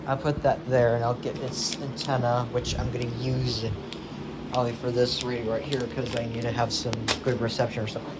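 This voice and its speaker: A man's voice: mid-range voice, little pitch movement, brisk. A man thinking aloud as he unboxes and sets up a radio at home, hesitant and full of fillers. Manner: hesitant, mutters to himself with many fillers. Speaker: vlogger unboxing a weather radio. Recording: loud background noise.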